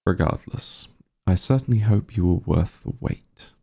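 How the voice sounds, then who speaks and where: Man playing a dark, low-voiced evil king. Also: animated, creaky, exaggerated voice. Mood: haughty, stern. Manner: pompous, condescending, deliberate formal diction. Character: arrogant, menacing evil sorcerer king, haughty and imperious. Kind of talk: ASMR roleplay acting.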